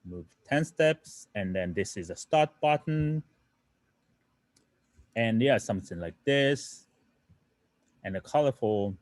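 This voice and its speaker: A man's voice: on the low side, animated, takes it slowly, long pauses, darkish tone, dry recording. A young man from Japan, a native Japanese speaker with a Japanese accent, explaining programming in an online lesson, often checking in with "right?" and fillers. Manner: explanatory, many "right?" tag questions and fillers. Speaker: programming instructor.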